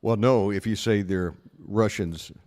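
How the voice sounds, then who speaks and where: Host, male, low-pitched, casual questioner, recorded with a roomy reverb tail. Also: measured pace, darkish tone. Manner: conversational, asks questions, reacts with interjections. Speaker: podcast host. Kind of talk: casual podcast interview.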